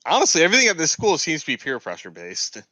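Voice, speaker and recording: A man's voice: a little high, huge pitch swings, quick pace, bright, forceful tone, dry recording, rough recording. A man co-hosting a podcast, giving his opinions in casual chat. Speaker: podcast co-host.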